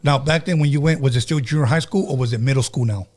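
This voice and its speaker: Fast-talking Chicano man hosting a show. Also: mid-range voice, a little mellow. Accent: Chicano Californian. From California, United States. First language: Spanish. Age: 50s. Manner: blunt, informal, sprinkles in Spanish. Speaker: radio podcast host. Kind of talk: casual interview chat with slang and swearing.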